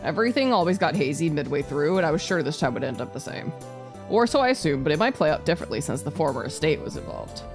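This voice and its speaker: Woman, lowish voice, animated intonation, game streamer, reading aloud, playful sweary asides, faint music. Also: quick pace. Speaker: streamer, virtual YouTuber. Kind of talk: live visual novel playthrough, reading narration aloud with casual asides.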